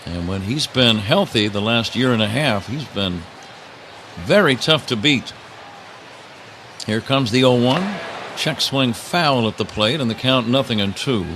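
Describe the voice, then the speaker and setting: A male radio play-by-play man calls baseball in a bright, forceful voice that sits a little low. His delivery swings widely in pitch as he follows the action, with steady outdoor ballpark ambience behind him. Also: a little slow, slight background noise. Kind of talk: live radio play-by-play commentary.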